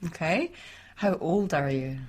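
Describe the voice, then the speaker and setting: A woman's voice: sits low, sing-song, a little slow, a little mellow. A woman interviewer asking simple, short questions for an English listening lesson.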